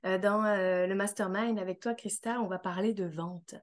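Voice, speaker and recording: A woman's voice: medium pitch, steady tone, normal speed. A woman from France with a light Canadian accent, a guest speaking earnestly about her coaching work in a casual interview. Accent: French with a light Canadian tinge.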